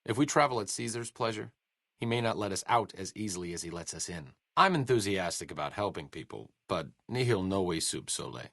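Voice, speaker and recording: A man's voice: deep voice, expressive, fairly quick, creaky, a bit bright, dry recording, full character voice. An actor playing a young, learned male scientist companion in a game, with a dry, sardonic wit and educated phrasing. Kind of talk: studio game voice acting. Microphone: close studio mic.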